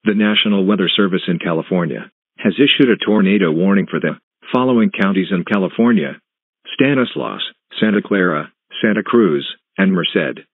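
A man reading a scripted emergency alert as an announcer, in a flat, measured, synthetic-sounding delivery with clipped phrases. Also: sits low, slightly rough, no echo. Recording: muffled, narrow phone-like broadcast sound.

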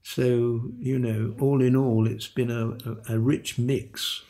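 An older man, a veteran voice actor, reminiscing in an interview with a halting, repetitive way of talking and soft chuckles. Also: mid-range voice, little pitch movement. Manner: halting, repeats words, chuckles softly.

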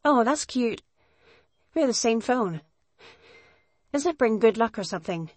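A woman voicing a sweet, friendly schoolgirl chess partner in an anime visual novel, gentle and encouraging. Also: a little high, expressive, a little slow, faint music behind. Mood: affectionate.

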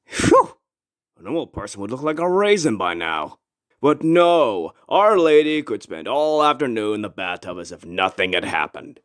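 A woman voicing gruff, theatrical male comic characters, bossy and easily exasperated. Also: very deep voice, sing-song, normal speed. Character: gruff, exasperated father; swaggering teenage bully boy. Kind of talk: comic fandub acting.

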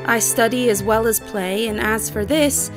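A woman's voice: a little high, normal speed, music in the background, lightly acted. An actress playing a poised, proud young lady of period society, composed, dry and proper, in an audio drama.

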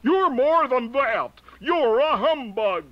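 A man voicing a plain-spoken, reproachful living scarecrow in a storybook, with drawn-out words. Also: very high-pitched, expressive, no echo, highs cut off, pitched above the performer's own voice, exaggerated voice. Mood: indignant. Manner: slow, drawn-out delivery.

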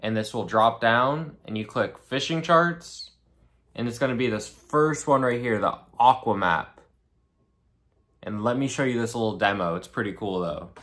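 A man's voice: medium pitch, normal speed, a bit bright, dry recording. A young man hosting a fishing vlog, chatting upbeat about his gear and spots from an open boat. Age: young adult. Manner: upbeat and chatty, talking through tackle and gear. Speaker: fishing channel host. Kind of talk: casual fishing vlog narration. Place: open boat on the sea and a room indoors.

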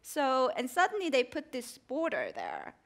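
A woman's voice: high-pitched, huge pitch swings, a little slow, gravelly, a bit bright. Korean-accented woman, 40s, onstage interview guest, earnest, headset mic. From South Korea. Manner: earnest, reflective, gesturing with her hands. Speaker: journalist guest. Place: theater stage before a live audience.

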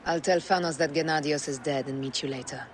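A man's voice: on the high side, fairly quick, noisy background, lightly acted. An actor playing a composed, knowing male informant in an ancient Egypt video game, giving instructions. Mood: assured.

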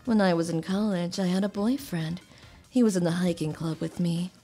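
A woman playing a polite, soft-spoken and hesitant lodge keeper in her early thirties in a manga dub. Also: lowish voice, fairly even intonation, moderate pace, slightly rough, faint music behind. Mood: friendly, wistful.

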